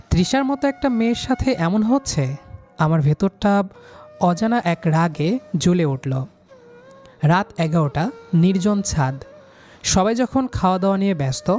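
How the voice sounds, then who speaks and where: A man's voice: high-pitched, a little mellow. Young man narrating a romantic story. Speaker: storyteller, lead character. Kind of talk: scripted first-person romantic story narration.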